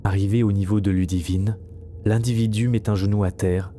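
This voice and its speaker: A man's voice: fairly low pitch, fairly even intonation, fairly quick, warm tone, slight background noise. A man narrating a scripted fantasy audio story as a storyteller. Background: faint music.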